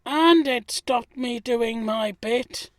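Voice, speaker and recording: Woman as sensitive, slow-speaking gardener lad. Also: fairly high pitch, no room sound. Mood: hurt. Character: sensitive, earnest young gardener man, easily hurt. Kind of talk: audio play acting.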